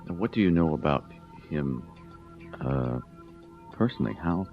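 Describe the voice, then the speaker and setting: A male interviewer with an extremely deep voice asks hesitant questions from off the mic. The sound is distant and dull, with faint music. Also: animated, moderate pace, creaky.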